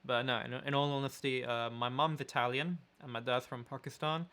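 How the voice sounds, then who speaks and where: Bright-voiced young London man chatting. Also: medium pitch, brisk, clear, resonant tone. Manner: relaxed, chatty, searches for words. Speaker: photographer and vlogger, co-host. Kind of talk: casual Q&A chat with partner.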